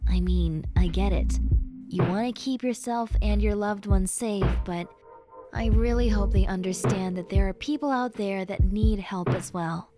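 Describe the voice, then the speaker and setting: Prominent music sits behind a woman acting as a young female best friend in an intimate audio roleplay. She vents in an earnest, rambling way, stressed yet caring. Her delivery is very unhurried, at a middling pitch, as if talking directly to one close listener. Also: close to an everyday voice. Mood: stressed, frustrated. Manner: conversational, sighing, rambling, earnest.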